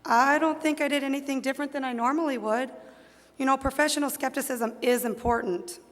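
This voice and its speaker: A woman's voice: sits high, fairly even intonation, a little fast, natural voice. A woman playing a composed audit partner testifying in an amateur stage dramatization, matter-of-fact and careful. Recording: echoey hall, long reverb.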